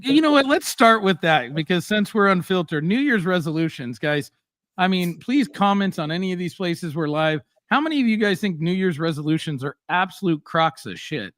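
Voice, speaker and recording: A middle-aged man hosts a casual live stream, talking bluntly and with plenty of animation, throwing in fillers as he goes. His voice sits a little high for a man. The sound is dry and close. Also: animated, moderate pace, fairly bright tone, no room sound. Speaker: host.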